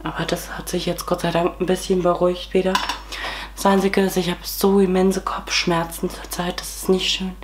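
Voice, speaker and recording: Young woman, twenties, hoarse with a cold, casual beauty vlogger, faint room hiss behind. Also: lowish voice, level tone, normal speed. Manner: chatty, many fillers, talks while applying makeup. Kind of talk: casual makeup tutorial chat. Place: small room at home, indoors.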